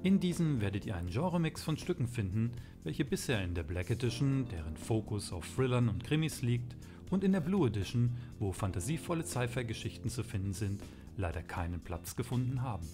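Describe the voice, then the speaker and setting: A man's voice: mid-range voice, sing-song, fairly quick. A man hosting an audio drama channel, giving a friendly, formal scripted announcement to listeners. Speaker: channel host. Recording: voice over loud music.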